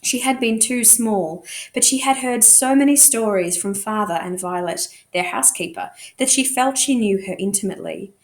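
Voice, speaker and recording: Higher-voiced woman reading a novel aloud. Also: moderate pace. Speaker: teacher reading aloud to students. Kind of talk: expressive storybook narration.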